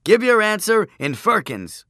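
A man voicing a pedantic chief priest in an audiobook, droning out riddles in a drawn-out, pompous recitation. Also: high-pitched, sing-song, bright, forceful tone, no echo, clean studio sound, voice pushed up, exaggerated voice. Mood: pompous testing.

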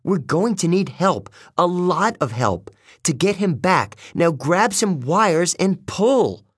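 Guy doing a bossy clever teen girl hero, pitched way up, urgent, kinda husky, audiobook. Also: big pitch swings, measured pace, no echo, clean studio sound, full character voice. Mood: urgent, explanatory.

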